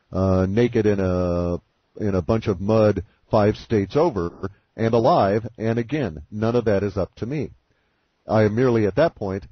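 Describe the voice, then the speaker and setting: A man with a fairly low, husky voice talks through ideas in a measured, speculative way. The sound is dry and dull, like a call recorded over a phone line. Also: dry recording. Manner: deliberate, philosophical, speculative. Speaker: guest speaker on a conference call. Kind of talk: measured explanatory monologue. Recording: muffled phone-call audio.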